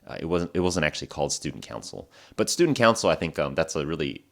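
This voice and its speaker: Very deep-voiced man, casual podcast host. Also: quick pace, gravelly. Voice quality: voice lower than usual, morning voice. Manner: relaxed, rambling, many fillers and self-corrections. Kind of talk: casual storytelling monologue for English learners.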